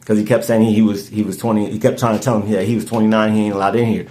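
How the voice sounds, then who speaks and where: A man's voice: fairly low pitch, little pitch movement, rapid-fire, mellow. A man, hosting a podcast and telling a story in casual chat, rambling and restarting his words often. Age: 30s. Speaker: podcast host.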